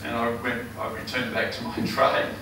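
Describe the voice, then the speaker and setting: An older Australian man with a hoarse, failing voice, giving an earnest campaign speech full of ums and uhs. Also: medium pitch, completely flat delivery, moderate pace. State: hoarse, losing his voice. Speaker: election candidate. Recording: echoey hall, mic far off, noisy.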